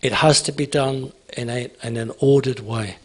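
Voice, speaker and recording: A man's voice: medium pitch, steady tone, normal speed, slightly rough. A man speaking at a conference, emphatic and repetitive, answering audience questions. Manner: emphatic, repeats phrases, asks "okay?".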